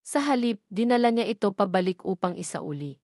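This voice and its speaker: A woman's voice: medium pitch, animated, brisk, darkish tone, dry recording, studio quality. A woman with a Filipino accent narrating a scripted dramatic story.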